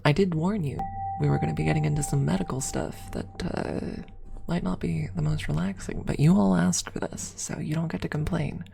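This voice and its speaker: A woman telling personal stories as an ASMR streamer, casually chatting in a hushed, unhurried way with frequent pauses. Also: low-pitched, gravelly, breathy edge. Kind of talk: ASMR storytelling, casual chat.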